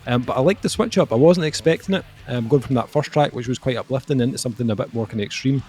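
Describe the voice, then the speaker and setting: A man's voice: medium pitch, a little mellow, husky. A young man reviewing an album on a podcast, talking casually with lots of ums and joking at himself. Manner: halting, lots of ums, jokey self-mockery.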